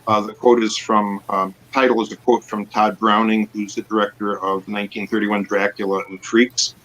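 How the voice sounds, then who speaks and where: A man's voice: on the low side, level tone, moderate pace, a bit bright, a little hiss or hum, low-quality recording. A man introducing himself as an author in a matter-of-fact, informative panel chat. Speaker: author guest.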